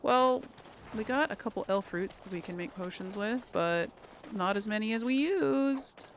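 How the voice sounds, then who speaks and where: Animated woman chatting through a video game. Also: mid-range voice, normal speed, slight background noise. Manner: chatty, talks to herself and to game characters. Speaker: let's play host. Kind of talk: casual live gameplay commentary. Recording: game music under the voice. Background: video game music.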